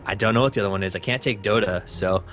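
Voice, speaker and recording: Low-voiced young man, laid-back. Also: rapid-fire, slight background noise. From Hawaii. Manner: relaxed, easygoing, frequent "uh" and "um". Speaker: interview guest, esports caster. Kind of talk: casual interview chat.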